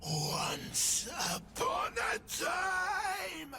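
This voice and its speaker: An actor playing an ancient, predatory scarecrow demon in a video game, chanting in broken, drawn-out phrases and mimicking frightened human cries. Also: male voice, very high voice, wildly swooping pitch, creaky, shouting, loud music behind the voice, full character voice. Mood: eerie menace. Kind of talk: video game character voice lines.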